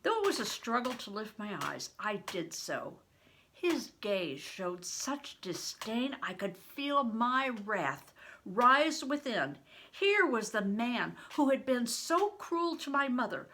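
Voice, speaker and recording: An older woman reading a children's novel aloud in an expressive storytelling manner. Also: fairly high pitch. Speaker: reader.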